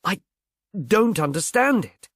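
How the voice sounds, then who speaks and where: A man playing a bashful, halting young man. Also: high voice, very animated, fairly bright tone, dry recording, voice pushed up, exaggerated voice. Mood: bewildered. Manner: halting, shy, slightly stammering. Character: bashful, bewildered young man, haplessly miserable. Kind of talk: audiobook character voice, British mystery novel.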